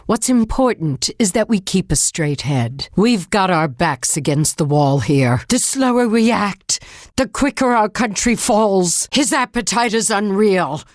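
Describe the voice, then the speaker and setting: An actress playing a tough, resolute government leader in a dramatic video game, speaking in a blunt, commanding way. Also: female voice, mid-range voice, expressive, a little slow, dry recording, clean studio sound, close to an everyday voice. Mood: grim, resolute. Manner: blunt, commanding, hard-nosed. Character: tough, resolute female government leader fighting a giant monster.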